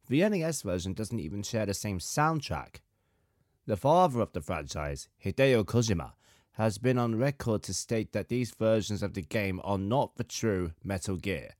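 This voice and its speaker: A man in his thirties, slightly hoarse with a sore throat, hosting a podcast in a measured, articulate, scripted narration style. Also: lowish voice, a little mellow, no echo, clean studio sound. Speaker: podcast host, composer.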